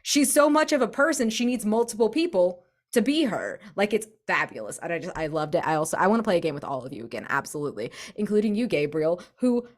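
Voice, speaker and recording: A woman's voice: on the low side, big pitch swings, quick pace. A woman in a casual post-game chat, speaking effusively as a tabletop role-playing player. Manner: effusive, gushing praise.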